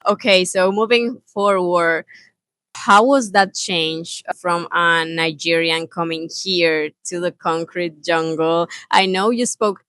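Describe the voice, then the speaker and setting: A woman's voice: on the low side, fairly even intonation, slow pace, a bit bright, no echo. A woman hosting a podcast, asking short follow-up questions with lots of "okay" and "uh" in a casual interview. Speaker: podcast host. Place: indoor room in New York.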